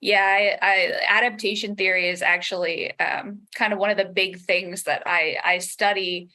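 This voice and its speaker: A woman's voice: mid-range voice, fairly even intonation, moderate pace, bright, forceful tone. A woman podcast creator and scholar speaking casually and analytically in a panel discussion, working through her ideas. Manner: thoughtful, analytical, hedging with frequent "like".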